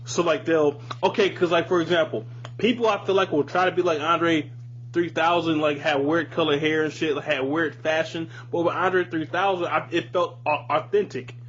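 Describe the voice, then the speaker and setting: A man's voice: a little high, fairly even intonation, moderate pace, fairly bright tone. A man hosting a podcast, chatting casually and giving his opinions in informal, profane slang. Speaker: podcast host. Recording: muffled lo-fi audio with hum, hiss.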